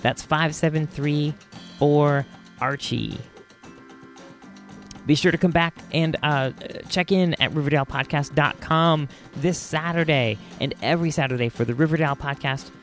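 A man's voice: a little high, normal speed, fairly bright tone. An American man hosting a podcast with casual commentary, speaking in an informal, chatty and somewhat hesitant way. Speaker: podcast host. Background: music.

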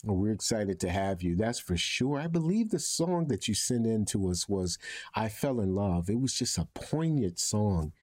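A man hosting an upbeat conversational interview, effusive in manner, with a husky voice. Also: fairly low pitch, animated, normal speed, a little mellow. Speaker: show host interviewing a guest.

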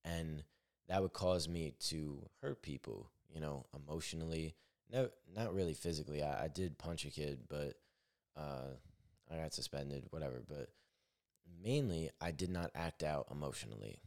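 Very deep-voiced man musing candidly. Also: normal speed, darkish tone. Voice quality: naturally deep voice. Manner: rambling, self-correcting, candid. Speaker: podcast host. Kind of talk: solo reflective podcast monologue.

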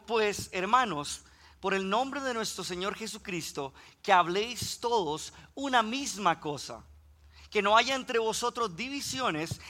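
A male preacher from Costa Rica with a Costa Rican Spanish accent and a high, bright, forceful voice. He greets the church warmly, then preaches with rising intensity and reads Scripture aloud. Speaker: guest preacher. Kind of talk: sermon.

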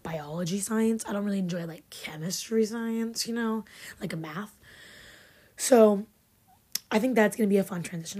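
A young woman in her twenties hosting a podcast, chatty and a bit sarcastic, her voice raspy from having just woken up. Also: mid-range voice, dark tone. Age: 20s. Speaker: podcast host. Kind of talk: casual chat. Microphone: filmed podcast setup.

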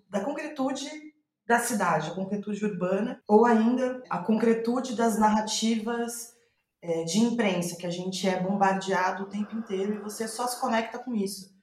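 A Brazilian woman, a playwright in a reflective podcast interview, thinking aloud in a halting, thoughtful way. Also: fairly high pitch, darkish tone. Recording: roomy, echoing sound.

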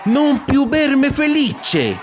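An actor playing a sly, smooth-talking Italian seducer in a radio soap, wheedling with an Italian accent and mixing Italian into Spanish. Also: male voice, very high voice, huge pitch swings, very slow, a little hiss or hum, exaggerated voice. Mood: wheedling, romantic. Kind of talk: radionovela acting.

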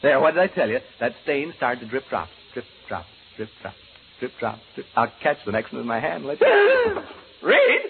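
A man's voice: medium pitch, huge pitch swings, moderate pace, vocal fry, narrow, tinny audio. An actor playing a nervous, lonely broadcaster coming unhinged in a haunted house, stammering and rambling to his listeners in a 1940s radio drama style. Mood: nervous dread, then panicked. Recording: noisy, thin old radio broadcast recording.

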